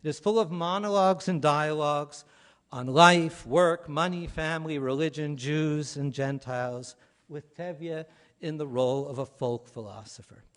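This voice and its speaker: A man speaking as an academic at a conference, delivering a prepared lecture in measured phrases with firm stresses and trailing endings. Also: a little high.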